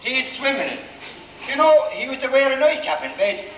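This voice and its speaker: A man's voice: extremely high pitch, sing-song, moderate pace, muffled recording. A man with an Irish accent playing a brash, bawdy widowed governess, scolding and wisecracking in a stage pantomime. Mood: jokey gossip. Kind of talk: broad amateur stage pantomime acting. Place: village hall stage, live performance. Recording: echoey hall stage, distant mic, noisy.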